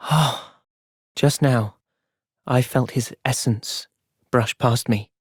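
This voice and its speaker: An actor with an English accent playing an earnest, gentle young soldier in a video game cutscene, speaking in a measured, sincere way. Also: male voice, mid-range voice, husky, no room sound, studio quality. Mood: pensive, troubled.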